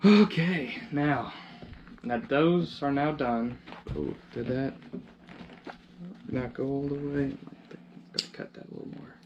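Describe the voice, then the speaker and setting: A man with a medium-pitched voice talks casually through a hands-on job, often muttering to himself in fragments. The sound is dull and lo-fi, with clear noise behind him. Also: takes it slowly, pauses often. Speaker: DIY vlogger. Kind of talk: casual hands-on commentary while working. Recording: noisy, dull lo-fi camera audio with clicks.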